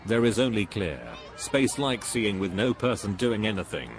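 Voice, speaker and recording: A man's voice: fairly low pitch, moderate pace, lightly acted. A man playing a pompous, dogmatic spiritual guru in a scripted cartoon dialogue, preachy and dismissive.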